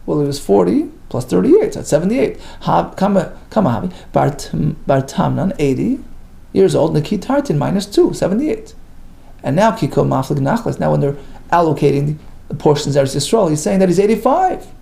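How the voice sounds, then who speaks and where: Lively, gravelly man teaching Talmud. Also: a little high, a little fast. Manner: reads Hebrew and Aramaic phrases then translates, lively and emphatic. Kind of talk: daily page lesson, reading and explaining.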